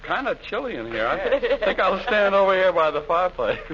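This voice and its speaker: An actor playing a dull, gullible, stubborn husband with a naive manner in 1940s radio comedy style. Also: male voice, sits high, sing-song, fairly quick. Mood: hesitant. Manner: naive, literal-minded. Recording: noisy, muffled old radio recording.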